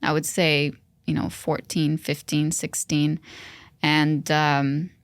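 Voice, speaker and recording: Podcast co-host, woman, Arabic first language, low voice, earnest and reflective, dry close mic. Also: flat delivery, slow, no room sound. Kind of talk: casual podcast conversation.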